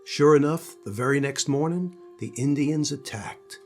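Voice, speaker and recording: A man's voice: a little high, normal speed, a little mellow, soft background music. An older man, a grandfather, narrating a children's story.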